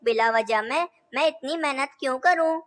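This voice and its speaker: A woman's voice: sits high, moderate pace, close to an everyday voice. A woman voicing male cartoon birds in a children's story, speaking in a simple, declamatory way. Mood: stubborn, assured. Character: male cartoon birds: helpful parrot and lazy scheming crow. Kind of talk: Hindi animated children's story dialogue.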